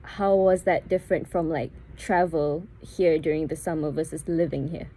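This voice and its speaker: A woman hosting a casual podcast interview, chatty and quick to laugh. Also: low voice, fairly even intonation, a little hiss or hum, low-quality recording. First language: English. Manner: chatty and warm, laughing often. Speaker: podcast host.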